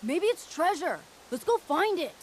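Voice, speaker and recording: A woman's voice: very high-pitched, very animated, unhurried, slight background noise, exaggerated voice, rough recording. A woman voicing a timid but eager little village boy in a video game.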